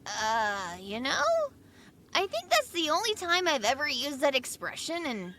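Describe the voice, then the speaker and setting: Mischievous kid, very high swooping voice, cheeky, audio drama, faint background noise. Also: wildly swooping pitch, unhurried, bright, forceful tone, heavily acted. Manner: cheeky, hesitant when caught. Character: cheeky bored mischievous young kid, Milo.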